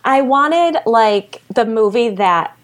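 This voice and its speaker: A woman's voice: a little high, expressive, slow. Giggly American woman chatting casually. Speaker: podcast guest, horror storyteller. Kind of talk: casual chat.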